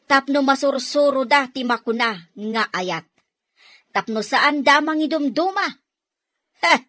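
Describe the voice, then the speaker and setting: A woman's voice: on the high side, fairly bright tone, dry recording. A woman with an Ilocano Filipino accent playing an earnest, righteous younger sister who scolds in a radio drama.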